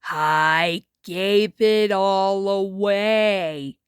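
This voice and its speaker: A woman's voice: mid-range voice, drawn-out words, smooth, no echo, clean studio sound, voice pushed down. A woman voicing a sweet, dim, devoted male cartoon cat with a simple, affectionate manner. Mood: tender, content.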